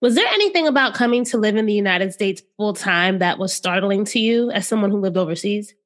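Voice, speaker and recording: A woman's voice: medium pitch, lively intonation, brisk, a bit bright. A woman hosting a casual podcast interview, encouraging her guest and laughing along. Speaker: podcast host.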